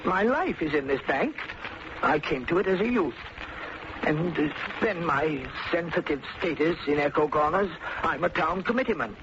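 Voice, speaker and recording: An American actor playing a nervous middle-aged small-town bank manager with a hesitant, formal manner in an old radio drama. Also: male voice, fairly high pitch, lively intonation, normal speed, natural voice. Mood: flustered, frightened. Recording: muffled, noisy old radio recording.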